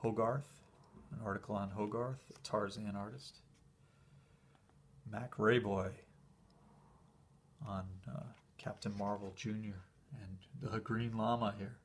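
A man talks off camera in a low, slightly husky voice while leafing through an old program indoors. His commentary is relaxed and enthusiastic, with easy fillers and short pauses. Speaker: collector showing a convention program. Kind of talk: casual flip-through commentary.

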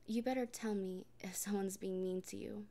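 A woman in a soft, gently reassuring comfort roleplay, often speaking in a whisper. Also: mid-range voice, steady tone, moderate pace. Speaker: roleplay performer speaking as a partner.

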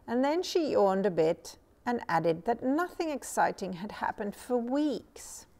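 A middle-aged woman reading a children's story aloud as a storyteller, measured and expressive. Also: fairly high pitch, vocal fry. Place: small furnished room indoors. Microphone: lapel mic.

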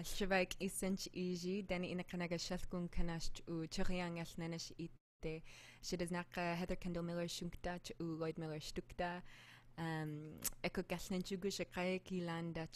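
A woman's voice: fairly low pitch, little pitch movement, normal speed. A woman in her twenties from Alaska, a singer casually introducing a live set into a close mic in a living room. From Anchorage, Alaska. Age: 20s. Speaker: guest singer. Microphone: studio mic on a boom arm, close.

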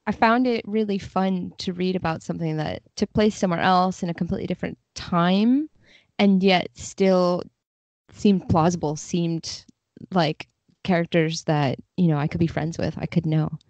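A woman's voice: fairly low pitch, steady tone, moderate pace, darkish tone, dry recording. A woman guest talking in a casual podcast interview, chatty and enthusiastic. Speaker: guest, literary translator.

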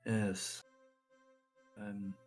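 A man's voice: lowish voice, little pitch movement, drawn-out words, a little mellow, faint music behind. A man playing a troubled, guarded, solemn adventurer in an improvised fantasy audio drama, speaking hesitantly and formally. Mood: solemn, firm.

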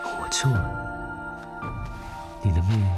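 An actor playing a ruthless young lord turned scheming eunuch commander in an audio drama, with a soft, breathy, menacing delivery. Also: male voice, deep voice, animated, unhurried. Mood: possessive. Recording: clear background music.

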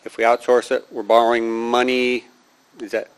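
Flat-voiced board member giving a report. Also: male voice, medium pitch, moderate pace, a little hiss or hum, low-quality recording. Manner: plain-spoken, hesitant with many ums. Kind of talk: committee report at a meeting. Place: large public meeting room.

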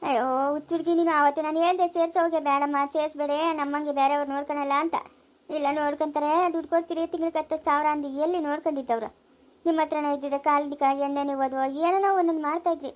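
Casting: woman as practical old village granny, high-pitched, bluntly comforting, rural southern Karnataka Kannada accent. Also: fairly even intonation, rapid-fire, lightly acted. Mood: scolding. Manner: blunt, fatalistic, consoling. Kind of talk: village drama acting.

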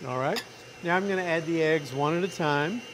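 A middle-aged man, a chef, talking through a recipe in a relaxed, chatty way in a restaurant demo kitchen. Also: on the high side, brisk, noisy background. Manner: relaxed, chatty step-by-step explaining. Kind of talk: cooking demonstration.

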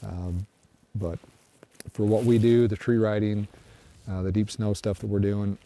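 A middle-aged man sitting on a snowmobile in the snow, giving a casual review to the camera in a relaxed, halting way with many restarts. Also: lowish voice. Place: outdoors on snowy flat by trees. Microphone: camera framed from a distance, seated on a snowmobile.

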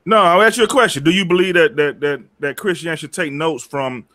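A man hosting a video call, asking long questions haltingly with many restarts. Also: on the high side, pushed and bright. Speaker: host. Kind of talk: casual discussion.

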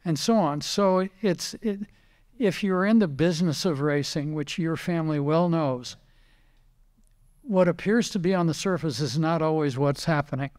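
Older man, American accent, fairly high voice, casual interview reminiscing, anecdotal storyteller, quotes old conversations. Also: normal speed, darkish tone. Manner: anecdotal storytelling, acting out remembered dialogue. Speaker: retired racing driver and team owner, interview guest.

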